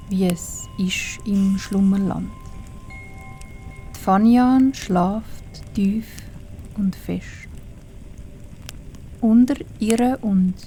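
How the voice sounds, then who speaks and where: A woman with a Swiss German accent narrates a children's bedtime story in a hushed, soothing, breathy way with long pauses. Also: mid-range voice, poor audio.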